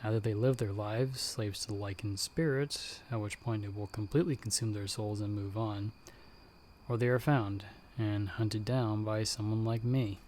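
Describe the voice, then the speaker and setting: A man playing a battle-hardened werewolf hunter in an ASMR audio roleplay, speaking directly to the listener in a measured, dryly understated way. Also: lowish voice, fairly even intonation, a little mellow, a little hiss or hum, close to an everyday voice. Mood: somber, sympathetic. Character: battle-hardened male werewolf hunter with healing magic, calm mentor.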